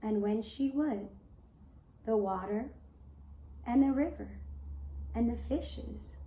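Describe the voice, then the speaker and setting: A woman narrating a folk tale as a puppet show storyteller, with measured, deliberate phrasing. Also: a little high, dark tone. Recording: roomy echo, faint background noise.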